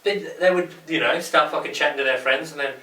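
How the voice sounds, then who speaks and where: Bright-voiced man, swearing bluntly. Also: on the high side, steady tone, a little fast. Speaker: podcast guest. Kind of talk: casual chat. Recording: echoey room, mic at a distance.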